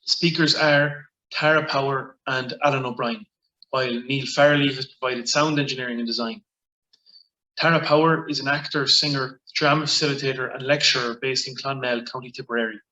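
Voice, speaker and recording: A man's voice: mid-range voice, fairly even intonation, brisk, bright, forceful tone, dull audio. A man with an Irish accent giving a formal scripted introduction as an event presenter. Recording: echoey room sound.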